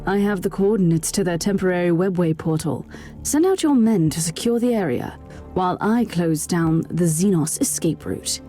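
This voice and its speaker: A woman's voice: on the low side, lively intonation, normal speed, mellow, faint music behind, close to an everyday voice. An actress playing a stern, zealous woman inquisitor in a video game. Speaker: inquisitor, player character.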